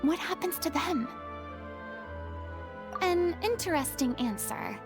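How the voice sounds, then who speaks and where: A refined, proud young stage star from a video game. The voice is high and the phrasing lofty, dramatic and formal. Music plays clearly behind it. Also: female voice, animated, slow. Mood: reflective, solemn.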